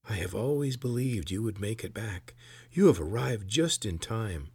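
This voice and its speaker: A man's voice: lowish voice, expressive, moderate pace, a little mellow, breathy edge, no room sound, exaggerated voice. A man voicing a wise, steadfast old man with an earnest, firm manner in a fantasy story. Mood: joyful, moved.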